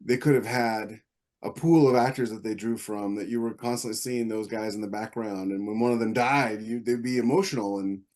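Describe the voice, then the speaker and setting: A man's voice: on the low side, a little fast, a little mellow. A man speaking casually as a writer in a podcast discussion. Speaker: podcast panelist, science fiction author.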